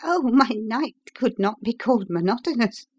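Storybook role: plucky, well-mannered young engineer, performed by a woman, excitable, lifted in pitch. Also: moderate pace, dry recording. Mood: animated, plucky.

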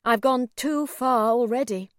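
A woman voicing a very old gentleman in a storybook, polite and gentle, with a courteous, wheedling manner. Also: fairly high pitch, unhurried, dry recording, clean studio sound, lightly acted. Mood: gently persuasive.

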